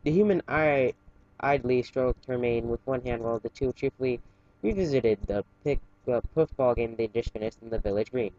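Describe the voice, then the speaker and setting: A man casually reading fan fiction aloud in a halting way, stumbling and correcting himself, with chatty asides. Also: mid-range voice, mellow, muffled recording.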